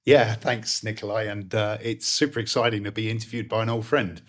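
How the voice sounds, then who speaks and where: A British man in his fifties, a guest in an interview, talking in a relaxed way with many pauses and fillers. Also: lowish voice. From Britain. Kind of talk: interview conversation.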